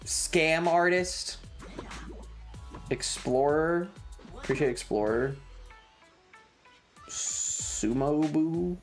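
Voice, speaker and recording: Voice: mid-range voice, extremely slow, pauses often, faint music behind. A streamer chatting casually while gaming, with foul-mouthed slang and playful exclamations. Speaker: variety streamer. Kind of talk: casual gaming livestream chat.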